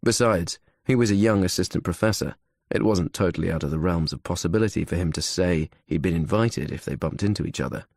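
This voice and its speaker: A man's voice: low-pitched, brisk, gravelly, few pauses, darkish tone, dry recording, clean studio sound. A man reading scripted thriller narration as an audiobook narrator.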